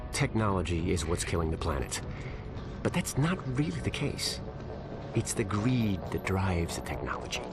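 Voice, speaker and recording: An actor playing a calm villain scientist who made a killer virus, speaking in a cool, smug, lecturing tone. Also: male voice, low-pitched. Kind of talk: game cutscene voice acting. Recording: over loud game music.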